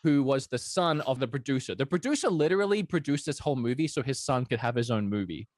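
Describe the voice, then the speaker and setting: A man co-hosting a podcast, chatting casually and telling jokey anecdotes in an animated way. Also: medium pitch, fast talker, no echo. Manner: animated, jokey storytelling with acted-out quotes. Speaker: podcast co-host.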